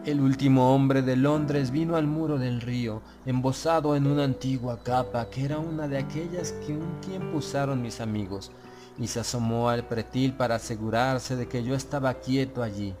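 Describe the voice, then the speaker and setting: A man reads a gothic horror story in a voice of medium pitch. His delivery is solemn and literary, measured, with phrase endings that drop away. Music plays clearly behind the narration. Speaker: audiobook narrator. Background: background music.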